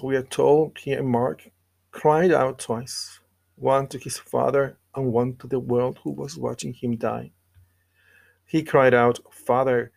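A man delivering a scripted devotional sermon and scripture reading in an earnest, measured way with deliberate pauses. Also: mid-range voice, creaky, a little mellow. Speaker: preacher.

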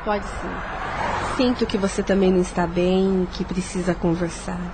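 A woman's voice: on the low side, steady tone, a little slow, noisy background. A woman playing a caring, troubled young woman trying to stay strong in a Brazilian radio soap, with a Brazilian accent. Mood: reassuring. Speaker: radio drama actress.

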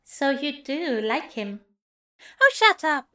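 A woman's voice: high-pitched, very animated, slow, bright, forceful tone, exaggerated voice. A woman voicing a fussy, prim male angel bookseller in an audio fan fiction drama, with wry, precise phrasing. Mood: indignant, sulky.

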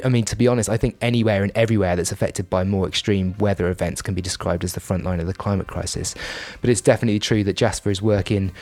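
A man's voice: lowish voice, a little mellow, no room sound, clean studio sound. A man hosting a podcast, talking in a chatty way with asides and restarts. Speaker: podcast host. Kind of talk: casual podcast talk.

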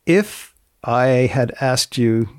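A man hosting a podcast, putting questions and presenting in a measured, deadpan, inquisitive way. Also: mid-range voice, no room sound, studio quality. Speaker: podcast host and magazine editor.

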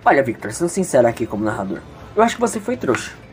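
A man's voice: medium pitch, big pitch swings, racing, soft background music. A man narrating a story as the storyteller of an amateur audio drama.

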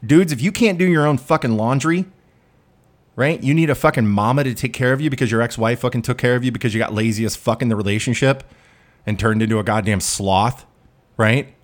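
Middle-aged male commentator, crude and in-your-face, jumps between loud and low. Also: a little fast. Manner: blunt, profane, swings between loud and low. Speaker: dating commentator. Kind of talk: ranting monologue.

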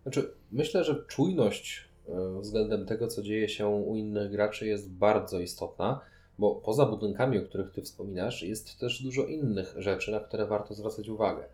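A man with a fairly low, warm and dark voice speaks as a podcast co-host. He explains in a measured, conversational manner, keeping things casual. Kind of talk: casual board game discussion.

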